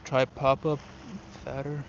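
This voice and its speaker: A man's voice: mid-range voice, completely flat delivery, takes it slowly, highs cut off, slight background noise. A young man from Ukraine who learned English later, chatting casually and informally as he hosts an outdoor fishing livestream and answers viewers. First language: not English, learned it after moving to the US. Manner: informal, switches between English and Russian. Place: outdoors on a riverbank in wind.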